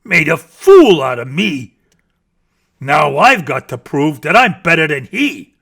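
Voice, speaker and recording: Man voicing a slow, boastful growling storybook bear. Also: a little high, big pitch swings, vocal fry, a bit bright, slightly rough, full character voice. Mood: sulky. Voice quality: growling, snorting. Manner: bragging, emphatic, drawn-out words. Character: boastful, scornful big male bear, storybook animal. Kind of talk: theatrical children's storybook reading.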